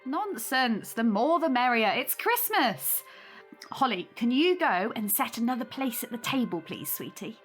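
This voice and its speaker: Warm aunt, chatty, teasing, very varied intonation, slightly high pitch, audio drama. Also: female voice, very animated, measured pace, faint music behind. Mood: welcoming. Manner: friendly, chatty, gently teasing. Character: warm, hospitable, playful young aunt. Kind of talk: naturalistic audio drama acting.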